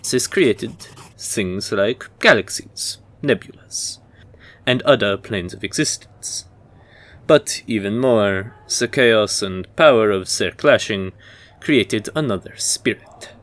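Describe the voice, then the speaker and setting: Man acting as a slow, low-voiced scholarly professor. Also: fairly bright tone. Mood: lecturing, measured. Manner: didactic, formal, deliberate. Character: measured, scholarly male university professor in a fantasy world. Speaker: professor giving a history lecture. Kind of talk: scripted audio roleplay lecture.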